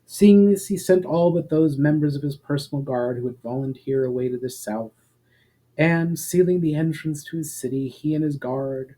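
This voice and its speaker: A man narrating a fantasy story as a dramatic reading, with deliberate, emphatic phrasing. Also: fairly high pitch, dark tone, low-quality recording.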